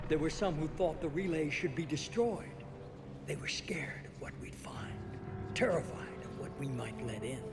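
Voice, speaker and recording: A man's voice: mid-range voice, natural voice. A man acting a middle-aged, zealous leader obsessed with control, grandiose and insistent, in a dramatic video game performance. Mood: smug, persuasive.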